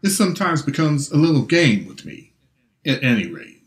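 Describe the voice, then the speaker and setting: A man voicing a smug billionaire businessman who speaks with annoying calm. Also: a little high, normal speed, pitched above the performer's own voice.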